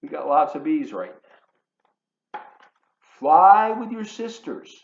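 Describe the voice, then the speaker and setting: A man reading a children's book aloud in an expressive, emphatic, teacherly way, adding chatty asides for his young listeners. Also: a little high, slow, gravelly. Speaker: volunteer children's storybook reader. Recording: roomy echo, slightly dull sound.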